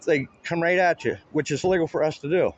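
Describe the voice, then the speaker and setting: A man's voice: on the high side, brisk, dull audio. A man with a Canadian accent in casual conversation, explaining himself in an insistent, repetitive way. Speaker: video auditor filming in public buildings. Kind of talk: casual spoken conversation with a police officer.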